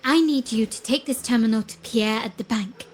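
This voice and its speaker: An actress playing a composed, determined young heiress agent in a video game, speaking in a measured, formal and deliberate way. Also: female voice, fairly high pitch, slight background noise, close to an everyday voice. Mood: resolute.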